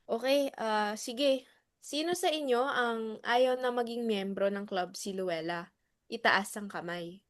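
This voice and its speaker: A woman's voice: on the high side, unhurried, dry recording, clean studio sound, lightly acted. A woman with a Filipino accent playing a hesitant, peacemaking club member in a dramatic reading. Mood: matter-of-fact. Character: hesitant, conciliatory clubwoman keeping the peace.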